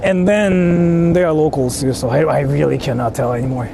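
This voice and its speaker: Guy from japan streaming outside, kinda high voice, slangy and repeats himself, loud traffic noise. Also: fairly even intonation, moderate pace, gravelly. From Japan (Ichihara). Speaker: livestreamer. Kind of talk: casual livestream chat to viewers. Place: outdoors on a city street. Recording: noisy urban street with traffic.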